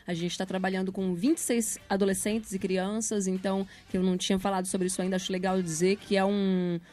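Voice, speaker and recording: A woman's voice: medium pitch, flat delivery, a little fast, warm tone. A woman with a Brazilian accent talking casually as an interview guest.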